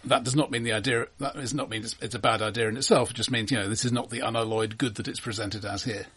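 Male film-show co-host, somewhat rough-edged husky voice, average pitch, conversational, measured and opinionated. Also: fairly even intonation, a bit bright. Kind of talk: casual film discussion.

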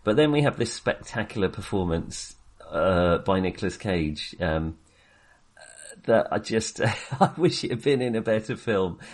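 Male podcast co-host, low voice, casual reviewing style, halting and self-correcting as he thinks aloud. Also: lively intonation. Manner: hesitant, self-correcting, with frequent repeated starts. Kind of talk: casual film review chat.

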